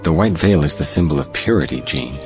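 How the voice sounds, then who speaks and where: A man's voice: very deep voice, animated, brisk, music in the background. A man playing an earnest, principled clergyman in an audio play, solemn and moralizing.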